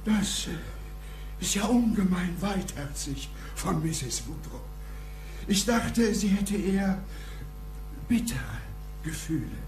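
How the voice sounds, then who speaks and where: An actor playing a self-important, formal head doctor of a private clinic in a radio drama. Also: male voice, sits high, animated, reverberant, background noise, heavily acted. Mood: hesitant, guarded. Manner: formal, pompous, measured. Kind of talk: German radio drama acting.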